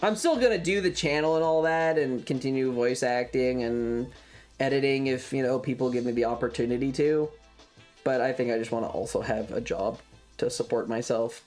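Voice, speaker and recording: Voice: mid-range voice, moderate pace, a little mellow, soft background music. A young adult streamer chatting casually to viewers in a hesitant, rambling way that often repeats phrases. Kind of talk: casual livestream chat.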